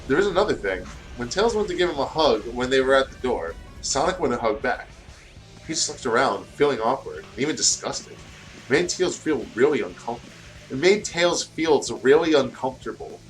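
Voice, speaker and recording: A man's voice: a little high, low-quality recording. A tired man co-hosting and reading fan fiction aloud in a casual way, stumbling over words and adding joking asides. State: tired, short of sleep.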